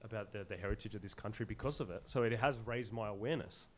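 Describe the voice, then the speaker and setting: A man from Zimbabwe with an Australian accent, talking earnestly and haltingly in casual podcast conversation, often repeating words as he searches for phrasing. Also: lowish voice. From Zimbabwe, moved to Australia as a child. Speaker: podcast guest.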